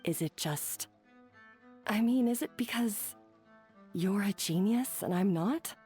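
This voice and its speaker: An actress playing a proud but remorseful, self-doubting female head chef in a video game, speaking in an earnest, halting, dramatic way. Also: mid-range voice, lively intonation, warm tone, soft background music. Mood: humbled.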